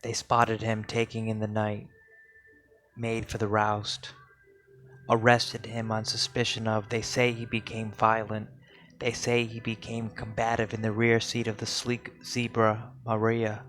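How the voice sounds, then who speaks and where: A man reads poetry aloud in a solemn spoken-word style, with deliberate, measured phrasing and pauses. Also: on the low side, monotone, a bit bright. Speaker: poetry reader.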